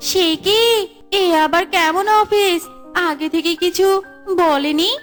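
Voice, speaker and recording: Bossy cartoon fox wife played by a woman, extremely high voice, nagging and teasing, faint music underneath. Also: slow pace, fairly bright tone, full character voice. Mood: surprised. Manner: scolding, teasing. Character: sharp-tongued bossy fox wife and mother. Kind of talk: Bengali cartoon voice acting.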